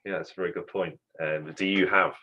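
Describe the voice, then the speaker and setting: A man's voice: sits low, fairly even intonation, fairly quick, pushed and bright, lo-fi sound. A man hosting an online student society event, friendly and hesitant, with many ums and restarts.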